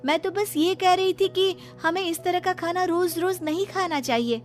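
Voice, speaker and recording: A woman plays a young cartoon daughter-in-law with a clearly high voice. She is polite but firm, earnestly protesting and reasoning, over light plucked-string music. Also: normal speed. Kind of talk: Hindi cartoon story acting.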